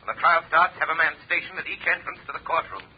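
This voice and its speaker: An actor playing a mysterious, menacing vigilante in deliberate, dramatic 1930s radio style. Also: male voice, a little high, natural voice. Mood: urgent, ominous. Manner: deliberate, dramatic, ominous declarations. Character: mysterious vigilante man, commanding, menacing and accusing. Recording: noisy, muffled 1930s radio recording.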